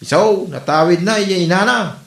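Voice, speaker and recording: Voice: medium pitch, normal speed, vocal fry, fairly bright tone. An actor with an Ilocano accent playing a gossiping neighbour in a comedy radio drama. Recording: echoey room.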